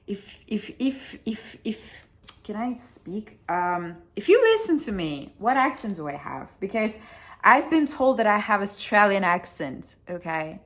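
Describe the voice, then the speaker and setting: A woman's voice: mid-range voice, very animated, a little slow, a bit bright. A young woman with a Russian accent, speaking English as a second language in a blunt, chatty vlog, swearing freely and poking fun at herself. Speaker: vlogger. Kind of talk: casual chat to camera.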